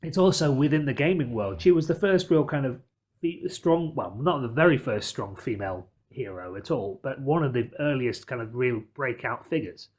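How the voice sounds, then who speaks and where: A man's voice: medium pitch, expressive, normal speed, darkish tone. A man hosting a podcast discussion of films and games, talking in a wordy, analytical way. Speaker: podcast host. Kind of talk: film and game discussion.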